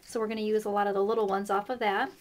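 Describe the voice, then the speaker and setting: A woman hosting a casual craft tutorial, explaining her steps in a chatty way with fillers, her voice a little rough this morning. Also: mid-range voice, little pitch movement, racing. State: slightly rough voice. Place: craft table indoors.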